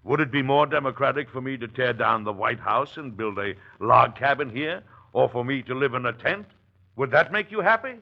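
An actor playing a dignified, middle-aged president and father in old-time radio drama, formal, measured and easily exasperated. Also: medium pitch, creaky, lo-fi sound. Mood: wry, defensive. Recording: old radio recording, faint hiss.

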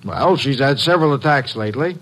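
Grave, measured family doctor. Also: male voice, mid-range voice, animated, a bit bright. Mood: matter-of-fact. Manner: grave, deliberate. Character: measured, matter-of-fact male family doctor. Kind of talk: old-time radio drama acting.

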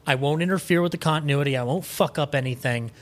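A man with a slightly high voice chats as a podcast guest. He is enthusiastic and opinionated, rambling through his ideas in an animated, casual way. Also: fast. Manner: animated, rambling, opinionated, acts out imagined quotes.

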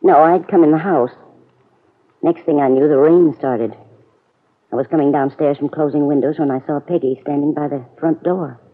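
A woman's voice: low voice, moderate pace. Muffled vintage radio farm woman. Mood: calm, mildly puzzled. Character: Aunt Mary, plain-spoken kindly farm woman. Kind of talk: old-time radio serial acting.

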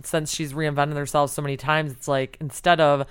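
A woman hosting a casual podcast from an office studio, with a low-set voice that stays smooth and clear. She chats in an upbeat, easygoing way and laughs easily. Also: flat delivery, moderate pace. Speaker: podcast host. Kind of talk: casual podcast chat and interview.

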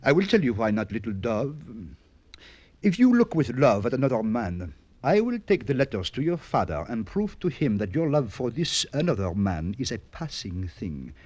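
An actor playing a gushing, scheming lover, in melodramatic radio drama style. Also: male voice, medium pitch, big pitch swings, normal speed, darkish tone, dry recording. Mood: oily, menacing. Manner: gushing, swooning, oily. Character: melodramatic, cloying, scheming French male lover. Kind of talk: old-time radio drama acting.

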